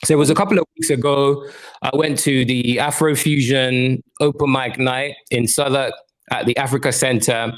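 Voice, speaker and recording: Guy hosting a music podcast, upbeat hype intros, mid-pitched voice. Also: fairly even intonation, normal speed, low-quality recording. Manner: upbeat, hype-style intros with self-corrections. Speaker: podcast host.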